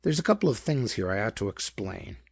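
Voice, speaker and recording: Man doing a gravelly, folksy small-town lawman. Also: lowish voice, sing-song, brisk, dry recording, studio quality, heavily acted. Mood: hesitant. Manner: colloquial, slangy, hesitant explaining.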